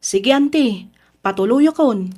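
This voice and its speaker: A woman's voice: fairly high pitch, lively intonation, measured pace, mellow. A woman playing a polite, tentative young visitor in a Filipino radio drama. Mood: polite, apologetic. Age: young adult.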